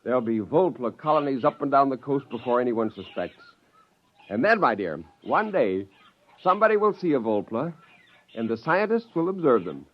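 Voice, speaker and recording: An American actor playing a middle-aged, gleefully eccentric scientist in a theatrical 1950s radio drama. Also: male voice, medium pitch, very animated, normal speed. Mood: gleeful, scheming. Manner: theatrical, chuckling, self-amused. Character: eccentric, gleeful scientist plotting a joke on mankind. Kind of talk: 1950s radio drama acting with first-person narration. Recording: dull, lo-fi 1950s radio recording.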